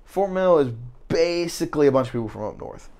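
A man's voice: on the high side, lively intonation, fairly quick, darkish tone. Laid-back Southern man chatting. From South Carolina, US. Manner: laid-back, rambling, laughs often. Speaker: podcast co-host. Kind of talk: casual chat. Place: informal podcast setting.

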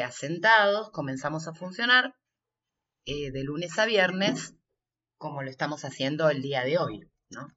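Bright, forceful man in an interview, slower pace. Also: on the high side, lo-fi sound. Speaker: workshop representative being interviewed. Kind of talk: interview answer, recounting.

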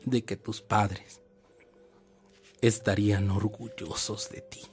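A man's voice: on the low side, flat delivery, slow, soft background music. An actor playing a proud, fatherly mentor, speaking tenderly and solemnly in a radio drama. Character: proud, fatherly older mentor of a young priest.

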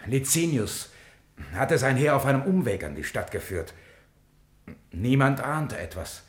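An actor in a 1950s German radio drama playing a humble, shaken soldier who speaks gravely and deferentially, recorded with room echo. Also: male voice, mid-range voice, lively intonation, measured pace, natural voice. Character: humble, shaken soldier reporting to his lord. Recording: roomy vintage radio studio recording.

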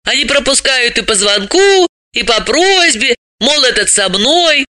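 A man voicing a brisk, self-assured woman sleuth with a mocking streak, explaining things emphatically in an audiobook. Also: very high-pitched, very animated, bright, forceful tone, no room sound, clean studio sound, voice pushed up, full character voice. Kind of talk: audiobook character voice.

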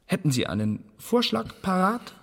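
A man's voice: high voice, big pitch swings, moderate pace, gravelly, full character voice. A man acting in a radio play as a meticulous intelligence official who briefs an agent in precise, formal language. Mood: officious, precise. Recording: long hall-like reverb.

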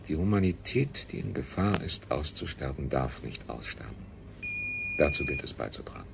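Pompous scientist man in old radio play, super deep voice, swoopy delivery, clear background noise. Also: expressive, moderate pace, heavily acted. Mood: preaching, insistent. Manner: solemn, pompous, declamatory. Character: earnest, high-minded male scientist preaching humanity. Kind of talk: 1970s German science fiction radio drama acting. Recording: noisy 1970s radio play recording.

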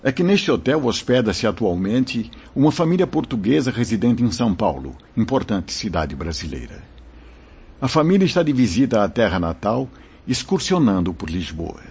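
An actor playing a solemn, benevolent spirit brother telling a life story in a radio drama, with a raspy voice. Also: male voice, on the low side, very animated, moderate pace, darkish tone, full character voice. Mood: narrating. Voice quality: husky, raspy. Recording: dull lo-fi radio drama recording.

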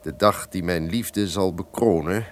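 An actor playing an imperious, menacing duke in an old-fashioned radio drama, speaking formally, theatrically and with command. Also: male voice, sits low, a little slow, slight background noise. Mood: coldly coercive.

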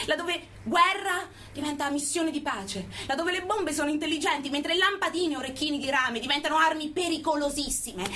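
A woman's voice: very high voice, pushed and bright, a little hiss or hum, exaggerated voice. An actress playing a proud, angry imprisoned activist in her thirties, declaiming a political theatre monologue with rhetorical emphasis. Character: defiant jailed activist woman of thirty-three, proud and angry.

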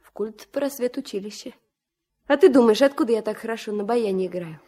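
An actress playing a lively, teasing young village girl, a student who plays accordion, in a 1970s Soviet radio drama. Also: fairly high pitch, fairly quick, lightly acted. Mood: playful. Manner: teasing, bold, chatty questioning. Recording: 1970s mono radio play recording.

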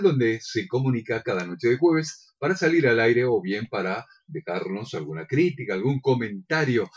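A man's voice: medium pitch, husky, lo-fi sound. An Argentine man co-hosting a radio show, chatting and making announcements in a courteous, agreeable announcer's manner. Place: radio studio.

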